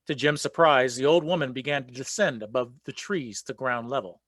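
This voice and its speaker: A man's voice: mid-range voice, fairly quick, fairly bright tone, no room sound, lightly acted. A man narrating a folk legend as the storyteller in a scripted radio play. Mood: storytelling.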